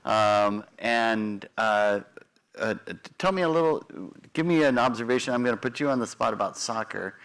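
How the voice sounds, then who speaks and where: Gravelly-voiced male panel moderator. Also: medium pitch, normal speed, fairly bright tone. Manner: gravelly, conversational, with some restarts.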